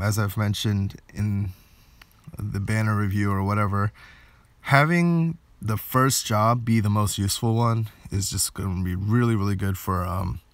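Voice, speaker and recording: Low-voiced man casually commentating gameplay. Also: dry recording. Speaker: game video creator.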